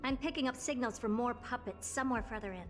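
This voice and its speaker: A woman's voice: on the high side, fast, close to an everyday voice. An actress playing a haughty, theatrical woman genius who makes puppets, pompous and self-assured in a scripted video game style. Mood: composed, intrigued. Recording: music behind the voice. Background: game music.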